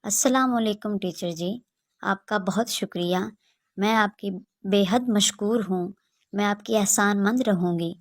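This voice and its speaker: A woman plays a studious young daughter from a poor village in a cartoon moral tale. She is polite, earnest and full of thanks, with a mid-range female pitch. The recording is dry and close. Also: no room sound. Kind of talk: acted children's moral story.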